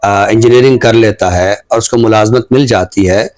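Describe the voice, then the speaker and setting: A man giving thoughtful, earnest commentary on a talk show in a measured, phrase-by-phrase way. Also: lowish voice, a little mellow.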